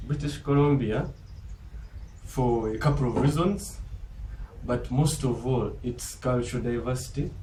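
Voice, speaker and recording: Man, Ugandan accent, formal and earnest, deliberate delivery, mid-pitched voice, echoey room. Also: a little mellow. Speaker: business school applicant. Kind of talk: formal self-introduction speech. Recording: roomy, distant mic, faint background noise.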